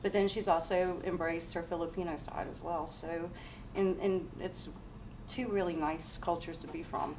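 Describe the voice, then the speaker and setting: Middle-aged mom from louisiana, low soft voice, mic kinda far off. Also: female voice, fairly even intonation, normal speed. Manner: gentle, laughs between thoughts. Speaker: interviewee, mother. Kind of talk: casual interview. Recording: distant mic, noisy ambience.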